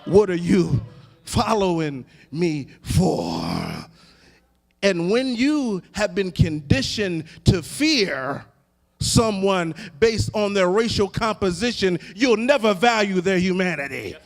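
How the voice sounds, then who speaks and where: American guy preaching a fiery baptist sermon, highish voice, big echoey hall, emphatic and repeats phrases for effect. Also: expressive. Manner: emphatic, oratorical, deliberate repetition with building cadence. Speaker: Baptist pastor. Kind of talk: impassioned sermon. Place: large indoor hall, live event. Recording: echoey hall with long reverb.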